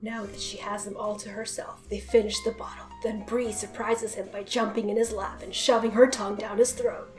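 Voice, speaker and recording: A woman's voice: mid-range voice, flat delivery, normal speed, hardly any pauses, a little mellow. A woman narrating a comic story as a dramatic reading. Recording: roomy echo, music behind.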